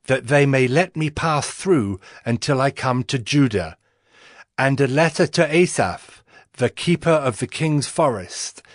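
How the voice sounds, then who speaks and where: A man's voice: medium pitch, dry recording, clean studio sound. A man playing a devout, earnest cupbearer in a dramatized audio Bible, solemn and reverent in his delivery. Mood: earnest, respectful. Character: Nehemiah, devout earnest cupbearer to an ancient king. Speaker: narrating lead in dramatized scripture. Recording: music behind the voice.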